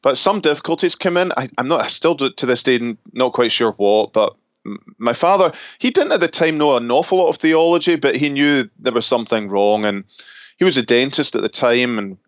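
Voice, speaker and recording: A middle-aged Scottish man, a pastor and seminary professor, talking thoughtfully in a relaxed interview with small laughs and restarts. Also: medium pitch, brisk, dry recording, dull audio. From Scotland.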